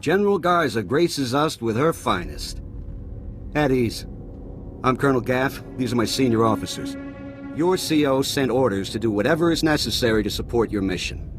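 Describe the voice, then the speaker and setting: Guy voicing a snide colonel, grumbly and sardonic, pretty average pitch, game music loud behind him. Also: animated, moderate pace, close to an everyday voice. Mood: scornful. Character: sarcastic, dismissive middle-aged male army colonel. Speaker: regimental colonel.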